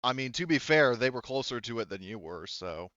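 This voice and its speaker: A man's voice: mid-range voice, expressive, fairly quick, fairly bright tone, dry recording, highs cut off. A man acting as game master, narrating and refereeing a tabletop roleplaying game in an informal, hesitant, think-aloud way.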